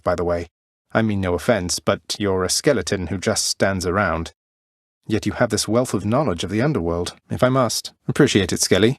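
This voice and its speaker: Casting: young prince of the underworld for a video game, low voice, dry wit, courteous, very close dry recording. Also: male voice, no room sound. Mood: dryly joking. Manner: casual, dry, understated, polite. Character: young male underworld prince, dry-witted, polite, friendly.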